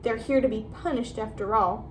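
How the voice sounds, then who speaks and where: A woman's voice: on the high side, moderate pace, slight background noise, close to an everyday voice. A woman voicing a nasty, gleeful old male school caretaker in a storybook. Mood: cold. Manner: leering, menacing.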